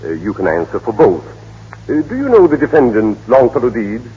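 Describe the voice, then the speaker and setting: An actor playing an insistent, smooth prosecuting lawyer in an old radio drama, with formal, emphatic theatrical delivery. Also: male voice, medium pitch, huge pitch swings, a little fast, heavily acted. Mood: politely smooth. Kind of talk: 1930s-40s radio drama acting. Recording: muffled, noisy old radio broadcast.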